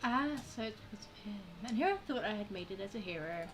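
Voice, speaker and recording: A woman's voice: mid-range voice, animated, fast talker, a little hiss or hum. Wry woman commenting on a game. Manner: wry, teasing asides. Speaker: game streamer. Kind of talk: casual playthrough commentary.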